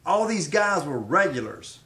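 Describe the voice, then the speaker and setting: A middle-aged American man with a somewhat high voice. He talks like a wrestler promoting himself, hammering phrases home with dramatic repetition. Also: sing-song, a little slow, sharp tone. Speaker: professional wrestler. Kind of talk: promotional speech answering questions.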